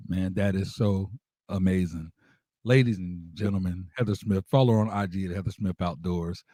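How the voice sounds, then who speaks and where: An American man hosts a casual interview in a fairly low voice. He is informal and effusive, dropping slang as he goes, and the sound is dry and close. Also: moderate pace, warm tone, no room sound. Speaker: interviewer, radio show host.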